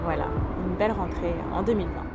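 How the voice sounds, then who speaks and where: A woman's voice: lowish voice, fast talker. A young woman from France, an artist talking casually in an interview about her stage show, thoughtful and hesitant with many fillers and restarts. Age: young adult. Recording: loud music playing under the voice.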